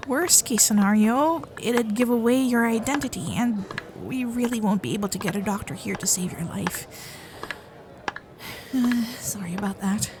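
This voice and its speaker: A woman's voice: on the high side, moderate pace, noisy background, lightly acted. A woman voicing a sweet, flustered young fox-spirit girlfriend in an ASMR roleplay, with a nervous, stammering, caring manner. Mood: hushed, caring.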